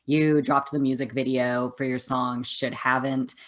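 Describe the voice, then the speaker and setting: A man hosting a conversational music interview, speaking in a supportive, measured way. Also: medium pitch, level tone, fairly bright tone. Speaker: interview host.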